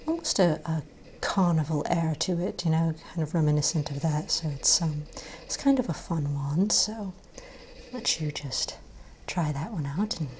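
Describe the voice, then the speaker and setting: Soft-spoken, low-pitched woman, near-whispering ASMR. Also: slight background noise. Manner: gentle, unhurried, reassuring shopkeeper patter. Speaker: ASMR role-play performer as wand shop owner. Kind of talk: soft-spoken ASMR role play, improvised sales patter.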